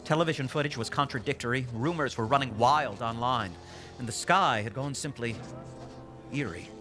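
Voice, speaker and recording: Guy doing grave dramatic narrator, mid pitch, deliberate, music bed behind. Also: bright, forceful tone, lightly acted. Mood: somber. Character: somber male documentary narrator recalling catastrophe.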